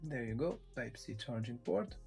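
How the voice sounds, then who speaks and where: A man presenting a casual tech unboxing, explaining things step by step with small hesitant pauses. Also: mid-range voice, lively intonation, vocal fry, darkish tone, soft background music. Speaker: tech reviewer presenting his channel.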